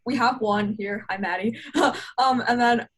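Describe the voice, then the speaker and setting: A teenage girl, a high school student, talking chattily with quick asides on a video call. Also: rough recording. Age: teens. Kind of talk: casual video call meeting.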